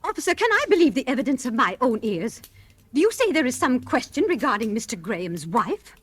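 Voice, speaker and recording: High-voiced, earnest, devoted heroine. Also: female voice, big pitch swings, brisk, husky. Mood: firm, insistent. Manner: earnest, pleading, insistent. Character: devoted, quick-witted woman protecting the man she loves. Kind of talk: 1940s radio drama acting.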